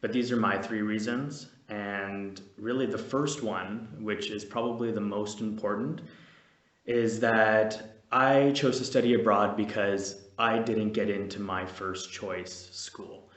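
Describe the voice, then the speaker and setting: A man's voice: lowish voice, steady tone, slow, fairly bright tone. A young man, vlogging casually and a bit nervously, rambling with many fillers and restarts. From Canada. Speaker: vlogger, veterinary student. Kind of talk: casual to-camera vlog. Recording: echoey room, distant mic.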